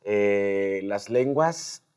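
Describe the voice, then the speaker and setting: Mexican guy hosting an interview, lowish voice, explains stuff with emphasis, lots of little tag questions. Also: animated, drawn-out words, a little mellow, smooth. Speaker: host. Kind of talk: conversational interview.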